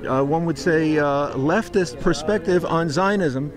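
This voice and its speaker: A man interviewing on the street from behind the camera, his somewhat higher voice hesitant and full of fillers. He sounds a bit away from the mic, out in the open. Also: over music. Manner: hesitant, halting, many "uh" fillers.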